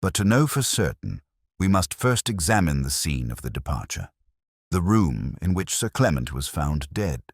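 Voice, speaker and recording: A male narrator plays a keen Victorian gentleman detective in an audio story. The voice is very deep, with animated pitch, precise and probing as each point is set out deliberately. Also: slightly rough, dry recording, studio quality, exaggerated voice. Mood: keen, assured.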